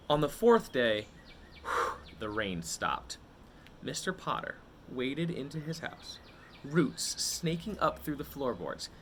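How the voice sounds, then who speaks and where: A man reading a children's picture book aloud expressively, with dramatic drawn-out words and suspenseful pauses. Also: on the high side, a bit bright, a little hiss or hum.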